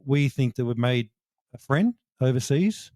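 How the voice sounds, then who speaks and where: A man's voice: mid-range voice, lively intonation, measured pace, warm tone, dry recording, studio quality. A man co-hosting a podcast interview, joking and rambling with fillers as he wraps up. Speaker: podcast co-host. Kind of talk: casual interview chat.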